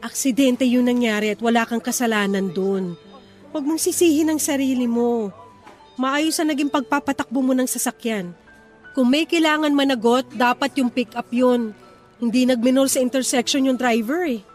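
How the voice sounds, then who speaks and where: A woman's voice: high voice, normal speed, soft background music, lightly acted. A woman playing an earnest, steadfast wife and mother who soothes and reassures, in a radio drama. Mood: reassuring.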